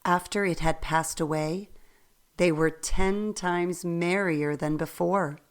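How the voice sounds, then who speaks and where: A woman narrating a radio play as a storyteller, reading in a measured way. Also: lowish voice, steady tone.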